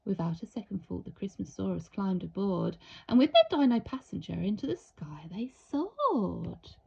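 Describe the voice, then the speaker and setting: A woman reading a children's storybook aloud with theatrical, deliberate, expressive storytelling. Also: mid-range voice, gravelly, slightly rough, dull audio. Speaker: storybook reader.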